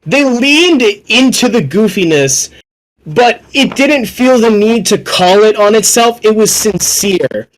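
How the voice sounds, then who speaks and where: A young man chatting casually about films as a podcast guest, excitable and effusive, swearing freely. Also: sits high, slow pace, poor audio. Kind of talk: casual film discussion.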